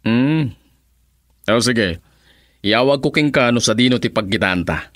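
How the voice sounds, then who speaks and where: Helpful city businessman, Filipino accent, mid-pitched, chatty, close dry sound, radio drama. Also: expressive, unhurried, a bit bright, no room sound. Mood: cheerful, chatty. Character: friendly, helpful adult male city businessman.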